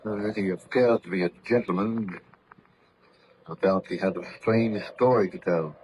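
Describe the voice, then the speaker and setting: An actor playing a calm, authoritative middle-aged ship's captain in an old radio drama, speaking in a measured, formal, courteous way. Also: male voice, fairly low pitch, muffled recording, natural voice. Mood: pondering. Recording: noisy old radio recording.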